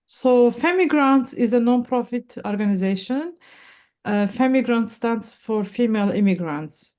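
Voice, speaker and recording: A young woman, founder of a nonprofit, explaining her work in a measured way over a video call. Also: a little high, animated, a little mellow. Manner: measured, explanatory, with light laughs and fillers. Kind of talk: casual video-call interview. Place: Silicon Valley, indoors.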